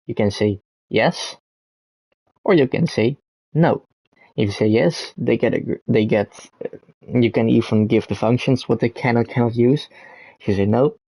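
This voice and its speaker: A man's voice: fairly low pitch, steady tone, moderate pace, dark tone, no echo, rough recording. A young man from the Netherlands with a Dutch accent, rambling to camera with many ums and self-corrections. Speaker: content creator. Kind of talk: unscripted talk to camera.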